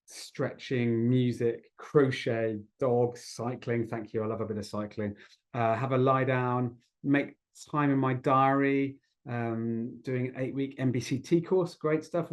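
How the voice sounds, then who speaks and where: A man's voice: mid-range voice, a little mellow. Man, mid-range voice, online group host, encouraging, appreciative, facilitating discussion. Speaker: host of an online community gathering. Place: online video call.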